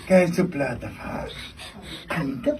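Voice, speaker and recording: A man's voice: a little high, expressive, measured pace, darkish tone, recorded from a distance. An actor playing a drunken, lecherous man who menaces a young woman in a radio drama, with a leering, coaxing manner. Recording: noisy radio drama, off the mic.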